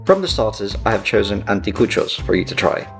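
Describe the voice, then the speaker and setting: Fast-talking low-voiced man explaining dishes. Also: sing-song, gravelly, over music. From Peru. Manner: brisk, explanatory. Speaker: food guide. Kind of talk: scripted voice-over.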